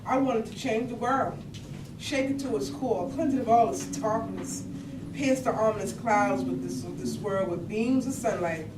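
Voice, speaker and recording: A woman's voice: fairly high pitch, normal speed, close to an everyday voice. A woman acting a wistful, disillusioned idealist activist in an earnest, emotional staged monologue. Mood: idealistic. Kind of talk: staged reading of dramatic monologue. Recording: distant, echoey, very noisy room.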